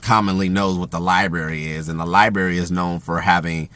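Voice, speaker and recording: A man talking casually about communication in an even, self-described monotone, with a loud voice that carries and plenty of fillers and restarts. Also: deep voice, brisk. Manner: rambling with frequent fillers and restarts. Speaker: host.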